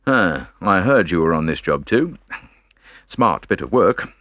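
Cocksure police inspector as put on by a male reader: low-pitched, brisk, blunt, chatty, for a detective story. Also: sing-song, fairly bright tone, no room sound, clean studio sound, exaggerated voice. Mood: cheerful.

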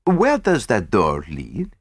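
A man playing a courteous, shrewd gentleman detective in a storybook reading, polite and measured. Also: on the low side, wildly swooping pitch, breathy edge, no echo, full character voice. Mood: inquiring, unruffled.

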